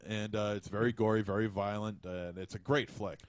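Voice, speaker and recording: A man with a fairly low voice talks casually as a podcast co-host, feeling his way through his points with a halting delivery. The sound is close and dry. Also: steady tone, dry recording. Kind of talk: casual movie review chat.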